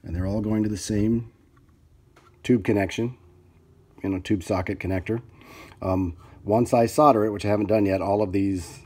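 A man's voice: lowish voice, steady tone, moderate pace, pauses often, dark tone. A man giving a casual, unscripted hands-on tutorial, thinking aloud as he works at an indoor bench. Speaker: hobbyist repairer demonstrating his work. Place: indoors at a workbench.

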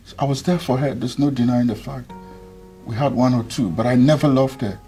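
An actor playing a two-timing young groom who pleads softly and evasively. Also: male voice, medium pitch, a little fast, faint music behind, lightly acted. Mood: pleading. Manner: pleading, soft and insistent.

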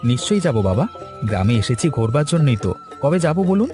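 A man's voice: medium pitch, big pitch swings, a little fast, dark tone, lightly acted. A man voicing an earnest, respectful young married man in a cartoon story, with a Bengali accent. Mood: friendly. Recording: music behind the voice.

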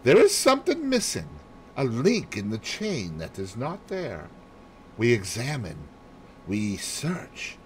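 A man voicing a fussy, excitable little Belgian detective in an audiobook, theatrical and precise, dropping in French phrases. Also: medium pitch, a little hiss or hum, pitched above the performer's own voice. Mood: excited, methodical. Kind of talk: audiobook character voice.

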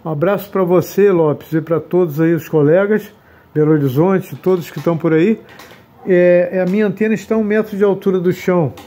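Mellow higher-voiced man. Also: fairly even intonation, brisk. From Brazil. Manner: friendly, courteous, repeating sign-offs. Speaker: amateur radio operator. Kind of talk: casual radio contact talk.

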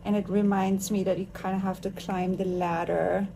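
An enthusiastic woman from Austria talks while she applies makeup, in a mid-range voice. She is chatty and warm to her guest. A faint steady noise sits under her voice in a living room. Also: mid-range voice, moderate pace, gravelly. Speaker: makeup artist and host. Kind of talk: casual chat while doing makeup.

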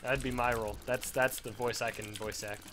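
A man's voice: medium pitch, level tone, brisk. Young guy w sore throat rambling thru a game, cursing at it, kinda hissy recording. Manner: rambling, self-deprecating, swears at the game. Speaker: let's play commentator. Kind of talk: casual gameplay commentary.

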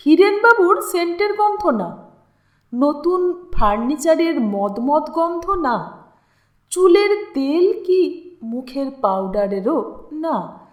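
A woman narrating a literary story in an expressive, deliberate, dramatic way with lingering pauses. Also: high-pitched. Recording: roomy, echoing sound.

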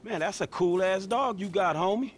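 A man's voice: sits high, normal speed. An actor playing a bemused, streetwise American man in a video game, casual and slangy. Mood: bewildered. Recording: very loud background noise.

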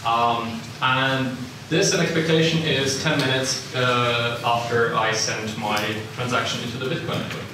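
A young man with a Swiss accent gives a relaxed, self-deprecating seminar talk, scattered with ums and uhs. He is picked up from a distance by a room camera. The lecture room rings with heavy echo, and a steady background noise runs under his voice. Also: medium pitch, unhurried, hardly any pauses. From Italian-speaking Switzerland. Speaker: seminar speaker. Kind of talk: technical lecture to a live audience. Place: lecture room with a projector screen. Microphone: far room camera, no visible mic.

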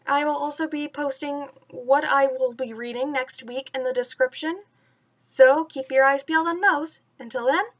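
A young woman with a high voice talks casually to camera as the host of a bedtime story series. She is recorded indoors in a small room at home, a little way from the mic. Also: steady tone, moderate pace.

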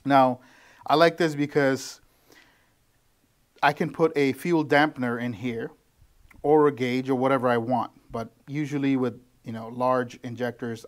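A man in his thirties talks through a how-to in a relaxed, rambling way, pausing on ums and restarting his sentences. His voice sits at a middling pitch and is picked up close by a handheld camera in a small, plain office. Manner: loose and conversational, with frequent ums and restarts. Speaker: tuning shop mechanic. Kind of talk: casual how-to walkthrough. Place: small office with bare walls, indoors. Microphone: handheld camera close to face.

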